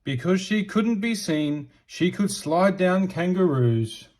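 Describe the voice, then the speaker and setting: Animated man reading slowly to children. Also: sits high. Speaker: storybook reader. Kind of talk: expressive read-aloud narration for children.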